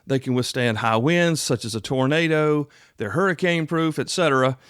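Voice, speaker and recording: A man's voice: a little high, moderate pace, a bit bright, clear, resonant tone, no room sound, clean studio sound. A man hosting a podcast solo, talking in a casual, folksy, rambling way full of asides. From South Carolina, United States. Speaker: podcast host. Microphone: close podcast microphone.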